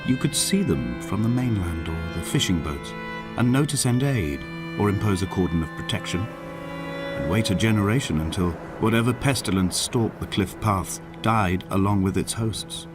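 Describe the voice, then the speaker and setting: Low-pitched, solemn melancholy solitary male narrator. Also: lively intonation. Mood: weary, somber. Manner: measured, solemn, reflective. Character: solitary, melancholy middle-aged male letter-writer narrating on an island. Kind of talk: scripted literary game narration. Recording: music behind the narration. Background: clear background music.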